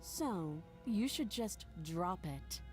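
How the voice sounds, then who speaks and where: A chained princess in a horror game, cool and menacing, taunting with a lilting, lively voice. She sounds calm and in control, with soft game music behind her. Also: medium pitch, very slow.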